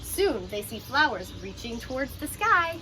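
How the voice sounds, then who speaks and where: Female teacher for children's read-aloud: higher-pitched, crisp and bright, instructive and expressive, slight background noise. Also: measured pace, rough recording. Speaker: teacher reading a picture book aloud. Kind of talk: children's storybook read-aloud with explanatory asides.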